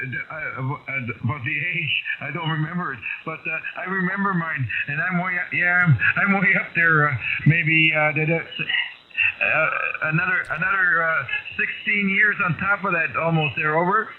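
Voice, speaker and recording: Heavy static surrounds a thin, phone-like radio signal. On it a man in his seventies with a slightly high voice chats casually, halting and rambling through his thoughts. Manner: halting, rambling, often ending with "eh" or "right". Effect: two-way radio. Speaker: amateur radio operator. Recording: tinny band-limited radio with loud static.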